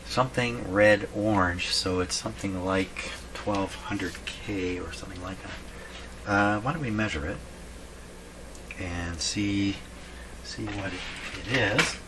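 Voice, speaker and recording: A man's voice: fairly low pitch, slow. A man giving casual hands-on repair commentary, thinking aloud and asking himself questions as he works. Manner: musing, asks himself questions. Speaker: radio repair hobbyist and video host. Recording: clearly noisy workshop background.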